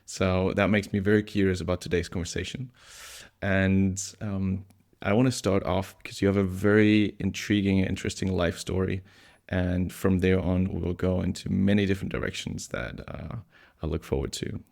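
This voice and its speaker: A young man hosting a podcast, talking in a gentle, thoughtful and somewhat hesitant way. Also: low-pitched, level tone, moderate pace, vocal fry. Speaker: podcast host, coach and psychologist. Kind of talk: casual podcast conversation.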